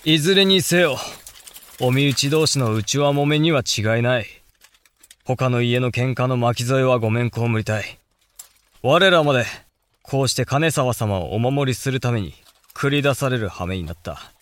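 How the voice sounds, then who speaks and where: A man's voice: medium pitch, moderate pace, fairly bright tone, no room sound, natural voice. A man playing a blunt young samurai elder brother, speaking formal archaic lines in a period audio drama. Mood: grumbling. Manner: formal archaic speech, firm and frank.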